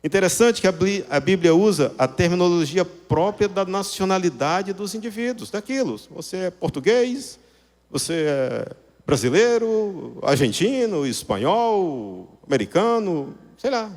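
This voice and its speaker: A man's voice: sits high, big pitch swings, unhurried, darkish tone. A man preaching a sermon to a congregation, explaining didactically and rephrasing points with rhetorical questions. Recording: reverberant hall sound.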